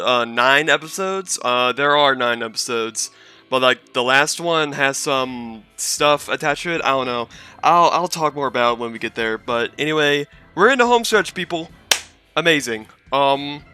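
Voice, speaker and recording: A man's voice: mid-range voice, bright, forceful tone, clean tone. A man hosting a casual commentary recap, talking in a rambling, hesitant and self-deprecating way. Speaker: video host and reviewer.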